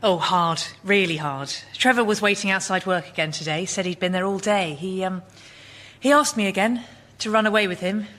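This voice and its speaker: A woman's voice: medium pitch, normal speed, a bit bright, natural voice. A woman playing a weary, troubled therapy patient in a radio comedy sketch, hesitant and downcast.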